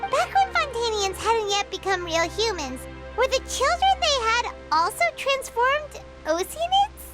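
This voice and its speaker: A woman voicing a small childlike fairy companion in a video game, chirpy, eager and inquisitive, with a squeaky voice. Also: sing-song, measured pace, exaggerated voice. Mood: curious, chatty. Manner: refers to herself in third person, eager questioning. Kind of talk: animated video game voice acting. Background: music.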